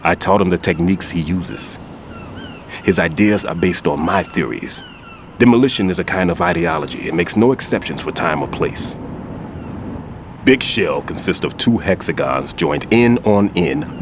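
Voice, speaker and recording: A man's voice: deep voice, expressive, moderate pace, noisy background. An actor playing an older male bomb-disposal expert and rueful mentor, patient and lecturing. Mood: instructive. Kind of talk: game cutscene acting. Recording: music under the voice.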